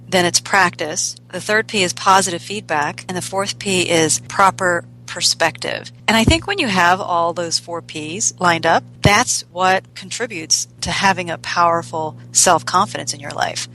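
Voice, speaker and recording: A woman's voice: lowish voice, bright, forceful tone, poor audio. Middle-aged woman guest over faint hum. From United States, born in South Korea and immigrated at four. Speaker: business coach, podcast guest. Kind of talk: conversational interview answers.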